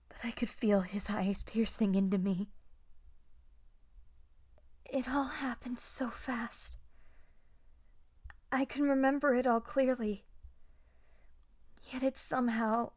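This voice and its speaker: Soft, haunted young Jedi woman, acted out by a woman. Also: on the high side, no room sound, clean studio sound. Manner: soft, hesitant, halting delivery. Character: wounded young Jedi survivor, gentle, haunted, guilt-ridden. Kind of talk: dramatic first-person audio roleplay.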